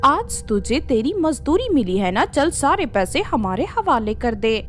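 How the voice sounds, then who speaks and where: A woman plays a spoiled storybook bully girl. She is bossy and threatening, very high-pitched and unhurried, over music. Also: very animated. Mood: menacing.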